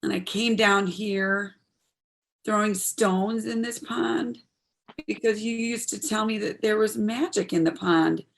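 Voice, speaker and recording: A woman's voice: mid-range voice, fairly even intonation, slow pace, gravelly. A woman playing an earnest, troubled daughter in her twenties in a stage drama, speaking in a heartfelt, pleading way. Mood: anguished. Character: earnest, troubled 26-year-old daughter, medical student. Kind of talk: stage play dialogue, audio performance.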